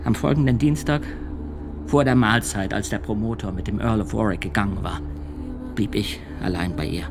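A grave male witness recounts his testimony before a tribunal in a radio drama. He speaks in a measured, sombre way that sometimes drops almost to a whisper. Music runs beneath his voice. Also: on the low side, creaky. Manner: measured, sombre telling, hushed at times. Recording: music under the voice.

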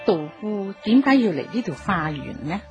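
An actress playing a commanding yet compassionate empress dowager in a Cantonese radio drama, formal and deliberate in manner. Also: female voice, medium pitch, sing-song, highs cut off. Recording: music behind the voice.

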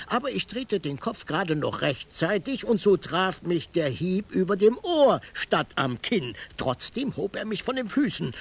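An actor playing a hardboiled private detective, narrating his case dryly in a gravelly, husky voice for a radio crime drama. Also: fairly high pitch, full character voice.

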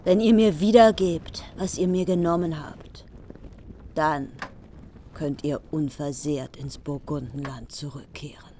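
An actress playing a vengeful, grieving queen in a radio drama, coldly determined and deliberate. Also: low-pitched, fairly even intonation, dark tone, slight background noise. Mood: cold menace. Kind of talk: German radio drama acting.

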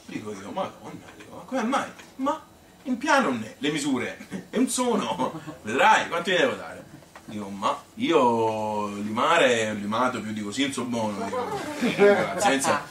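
A man's voice: medium pitch, fairly bright tone. An actor playing a sociable, wry Tuscan man telling rambling, colloquial anecdotes about his own life in a theatrical monologue. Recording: roomy, distant mic with faint noise.

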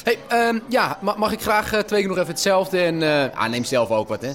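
An actor playing a cocky, laid-back, free-spending bar customer with casual, hesitant talk in a radio drama. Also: male voice, high-pitched, brisk, fairly bright tone. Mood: smug, relaxed.

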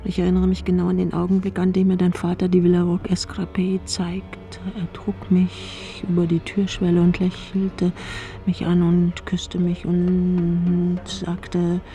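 A woman's voice: lowish voice, monotone, warm tone, faint music behind. An older woman playing a frail, eccentric elderly mother in a radio play, nostalgic and imperious. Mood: dreamy, nostalgic. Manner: slurred, drowsy, halting recollection.

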